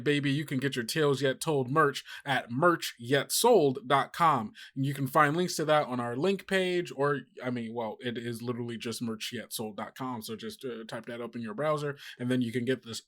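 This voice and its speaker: A man with a mid-pitched voice hosts a podcast. He talks informally and ramblingly, chatting his way through announcements as if to regular listeners. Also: fairly bright tone. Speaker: podcast host.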